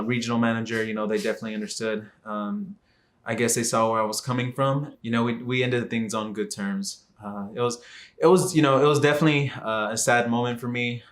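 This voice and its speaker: A man's voice: on the low side, a bit bright. A man in his twenties from Texas, talking casually to camera and rambling with many fillers and restarts. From Dallas, Texas. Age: 20s. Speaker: vlogger.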